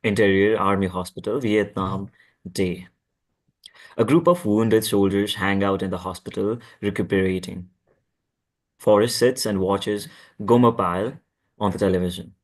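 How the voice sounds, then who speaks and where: A man's voice: fairly low pitch, moderate pace. A man narrating a script at a table read, reading out stage directions.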